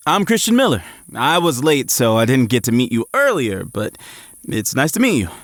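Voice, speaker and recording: An actor playing an eager, frazzled young male athlete, narrating his own story in an audio drama with expressive, at times hesitant delivery. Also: medium pitch, normal speed, dry recording, clean studio sound. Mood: puzzled, eager. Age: young adult. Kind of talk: first-person audio drama narration and dialogue.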